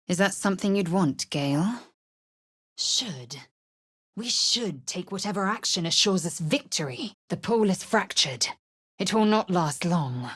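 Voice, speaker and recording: An actress playing a stern, proud, impatient alien warrior woman in a video game, husky and clipped in delivery. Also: lowish voice, lively intonation, measured pace, a bit bright, no room sound, studio quality, heavily acted. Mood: cold, haughty. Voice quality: husky, raspy. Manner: clipped, haughty, commanding. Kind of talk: video game character acting.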